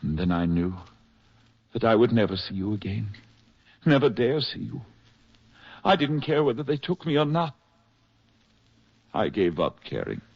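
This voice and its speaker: An actor playing an exhausted, lovelorn spy on the run in a 1940s radio drama, with a halting, earnest delivery and a husky voice. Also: male voice, fairly low pitch, big pitch swings, dry recording, full character voice. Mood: weary, yearning. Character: hunted English spy, exhausted, tender, in love. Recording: muffled, husky-sounding 1940s radio recording.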